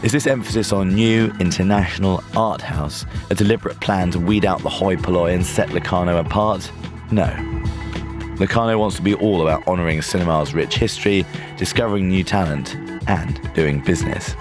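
A man reading a scripted radio report in a wry, measured way. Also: deep voice. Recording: voice over music.

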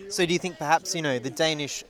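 A man's voice: on the high side, fast, crowd noise. A man working as a radio reporter, interviewing people outdoors at a public event in a casual way, filling his questions with "you know". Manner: chatty, leading questions, many "you know"s.

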